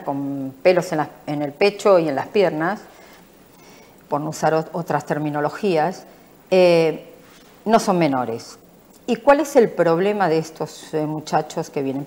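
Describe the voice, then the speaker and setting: A woman's voice: sits low, a little mellow. A middle-aged woman from Argentina with an Argentine accent, answering as a politician in an interview, emphatic and repeating her points. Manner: emphatic and repetitive. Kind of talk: studio interview.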